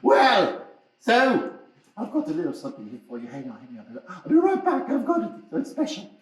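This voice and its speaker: A woman voicing an excitable, girlish goth princess puppet who hosts an interview in a gushing, theatrical way. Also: on the low side, distant mic. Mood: playful, chatty. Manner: effusive, theatrical, drawn-out delivery. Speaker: puppet show host. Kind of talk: playful interview in character. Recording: echoey room.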